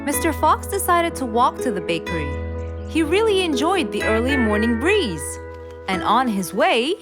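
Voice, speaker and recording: Lively, high-voiced woman storyteller. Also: normal speed. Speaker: narrator. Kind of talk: scripted children's story narration. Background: background music.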